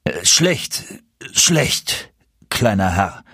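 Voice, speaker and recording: A weary, ageing storybook cobbler, voiced by a male reader, with a husky tone. He sounds lonely and plaintive, a grieving father talking haltingly. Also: deep voice, very animated, pushed and bright, no room sound, clean studio sound, full character voice. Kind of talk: storybook character voice in a fairy tale reading.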